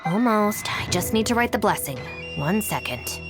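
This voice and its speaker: A woman's voice: mid-range voice, very animated, moderate pace, loud music behind the voice, lightly acted. A woman voicing a composed, practical and blunt young woman in a video game, speaking articulately and matter-of-factly. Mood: practical, calm. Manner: matter-of-fact, articulate, cool-headed.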